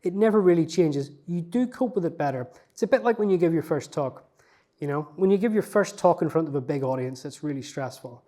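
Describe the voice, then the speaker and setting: A man's voice: on the high side, expressive, a little fast, dark tone. A man, a researcher, answering interview questions in a reflective, self-effacing way, with frequent fillers and restarts. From not from Newcastle.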